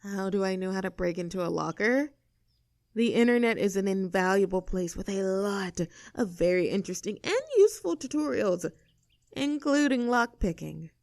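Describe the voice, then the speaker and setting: A woman's voice: mid-range voice, normal speed, vocal fry, darkish tone, no room sound, studio quality, close to an everyday voice. A woman playing a snooty, abrasive young mean girl in an audio roleplay drama, sarcastic and indignant as she talks straight at the listener. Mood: confiding, guarded.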